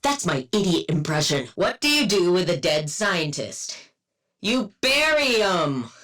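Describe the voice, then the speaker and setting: A woman's voice: on the low side, sing-song, a little slow, a bit bright, heavily acted. A woman playing a haughty, sardonic scientist supervillain in a video game, with clinical, precise diction and dry, condescending wit. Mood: smug, deadpan. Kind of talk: scripted game character voice lines.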